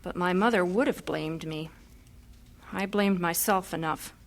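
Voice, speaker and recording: A woman's voice: on the low side, a little slow, creaky. An actress playing an earnest, heartfelt young woman missionary about to sail overseas in a vintage radio drama. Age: young adult.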